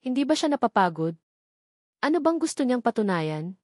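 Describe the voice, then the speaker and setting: A woman's voice: a little high, sing-song, moderate pace, a little mellow, dry recording, clean studio sound, pitched above the performer's own voice. A woman voicing a proud young wife, secretly caring and hesitant in a romance audio drama. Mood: earnest, protective.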